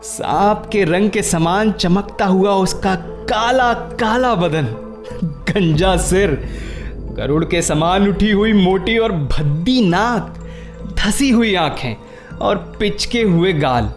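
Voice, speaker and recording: A vain, teasing young suitor in a radio play. His voice is high and he takes his time, savouring his own flamboyant jokes. Soft music sits behind him. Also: animated, takes it slowly. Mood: mocking. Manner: flamboyant, jokey, self-satisfied, drops English phrases. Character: vain, teasing, lovesick handsome young man. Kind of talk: Hindi radio play acting.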